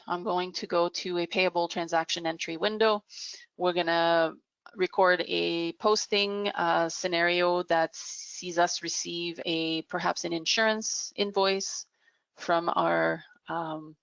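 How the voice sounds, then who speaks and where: Low, creaky-voiced woman presenting a demo. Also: fairly even intonation, normal speed, fairly bright tone, no room sound. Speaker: software product demonstrator. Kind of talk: explanatory business software demo. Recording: dull, muffled lo-fi audio.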